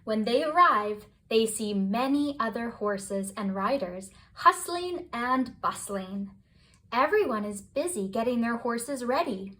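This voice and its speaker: A woman reading a children's picture book aloud as scripted bedtime narration, with expressive, deliberate phrasing. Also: a little high. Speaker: children's storybook reader.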